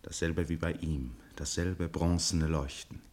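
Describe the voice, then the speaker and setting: An actor as the narrator of a radio drama, telling the story in a grave, literary, deliberate manner. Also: male voice, extremely low pitch, steady tone, darkish tone. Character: grave, measured male storyteller.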